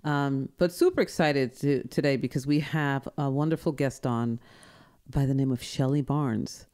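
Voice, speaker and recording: A woman's voice: extremely low pitch, normal speed, mellow. A woman hosting a podcast in a studio, chatting in an effusive, upbeat way. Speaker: podcast host. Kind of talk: casual chat.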